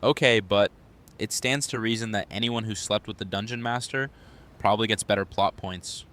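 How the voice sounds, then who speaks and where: Brash, low-voiced man playing a teasing guy. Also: normal speed, a bit bright, lightly acted. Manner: blunt, casual teasing. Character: brash, crude, teasing guy, insistent and oblivious. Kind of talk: scripted audio drama acting.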